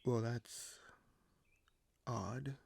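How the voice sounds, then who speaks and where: An actor playing a scheming, courteous young king in an audio roleplay, speaking formally and hesitantly with deliberate pauses. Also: medium pitch, vocal fry, darkish tone, slightly rough, exaggerated voice. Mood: courteous, musing. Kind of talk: audio roleplay acting, addressed to the listener.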